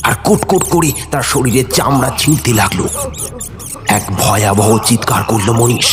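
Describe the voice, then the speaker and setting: A man narrating a horror audio story in a measured, suspenseful manner. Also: medium pitch, lively intonation. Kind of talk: dramatic scripted narration. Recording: reverberant studio with clear music. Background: music.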